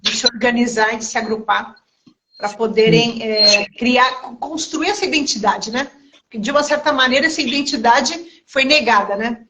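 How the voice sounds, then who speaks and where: A woman's voice: fairly high pitch, normal speed, fairly bright tone, slight background noise, poor audio. A woman hosting a casual live-stream interview, chatty and full of tag questions. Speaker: interview host. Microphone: remote call over a live stream.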